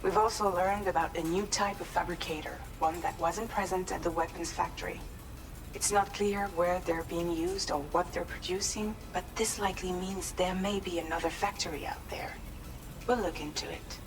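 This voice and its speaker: A composed female analyst in a video game briefing, played by an actress. Her voice sits fairly low and stays precise and businesslike, with music clearly underneath. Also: fairly even intonation, moderate pace, close to an everyday voice, poor audio.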